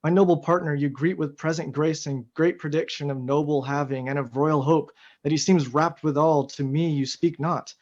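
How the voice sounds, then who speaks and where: A man's voice: on the high side, steady tone, normal speed, hardly any pauses, a little mellow, close to an everyday voice. A man playing a bold noble general who questions and declaims in a Shakespearean radio play. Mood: wary questioning. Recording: dull, lo-fi sound.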